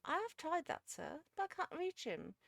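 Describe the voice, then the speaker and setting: A woman's voice: very high voice, big pitch swings, normal speed, vocal fry, fairly bright tone, no room sound, studio quality, voice pushed up, exaggerated voice. A woman voicing a put-upon, philosophical female cook in a storybook, plaintive and resigned.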